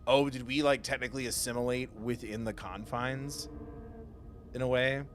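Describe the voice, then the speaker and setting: A man's voice: mid-range voice, steady tone, moderate pace, fairly bright tone, a little hiss or hum. A man streaming a game live, chatting casually and muttering dialogue choices aloud. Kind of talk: live let's play, casual chat and reading choices aloud. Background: clear game music.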